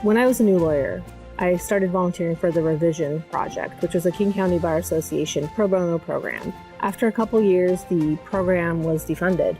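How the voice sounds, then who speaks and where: A woman whose first language is Polish talks steadily and earnestly in a documentary-style interview. Her voice sits a little low, with soft music underneath. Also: a little fast. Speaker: pro bono program director.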